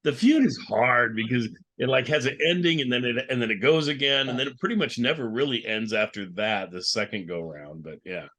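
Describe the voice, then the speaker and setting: A man's voice: medium pitch, fairly quick, hardly any pauses, pushed and bright. A man moderating a casual enthusiast panel discussion in conversational, informal speech.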